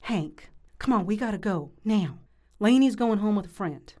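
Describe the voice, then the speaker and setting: A woman's voice: mid-range voice, lively intonation, moderate pace, music in the background, close to an everyday voice. A woman playing a frisky, confident small-town wife in a comic audio drama. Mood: flustered, urgent.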